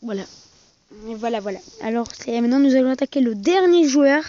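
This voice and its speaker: A gaming video host giving casual, halting commentary on a mobile game, often repeating and correcting phrases, on a muffled amateur recording. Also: mid-range voice, expressive, warm tone.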